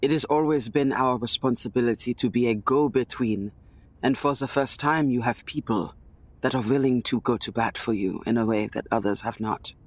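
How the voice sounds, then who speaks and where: A man's voice: mid-range voice, normal speed, no room sound. Male roleplayer, middle register, improvised in-character talk and action description, close dry recording. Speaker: tabletop game player.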